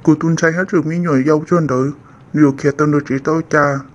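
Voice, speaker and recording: A man's voice: on the high side, steady tone, very fast, lightly acted. A man voicing a male character in a family drama cartoon, in dramatic dialogue. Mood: conversational. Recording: dull lo-fi, faint noise.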